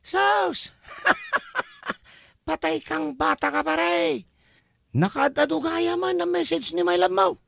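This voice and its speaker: A man's voice: very high-pitched, expressive, slow, creaky, pauses often, fairly bright tone, no room sound, studio quality, exaggerated voice. A man voicing a teasing, gossipy male buddy with jeering exclamations in an Ilocano comedy audio drama. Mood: amused teasing.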